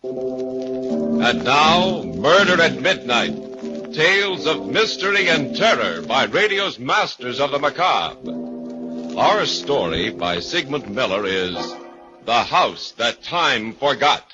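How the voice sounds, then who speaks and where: A man's voice: medium pitch, big pitch swings, takes it slowly, music in the background. A man playing an ominous, portentous narrator of 1940s radio horror tales, on a muffled old recording. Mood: dramatic. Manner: portentous, dramatic. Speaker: narrator and announcer of horror anthology.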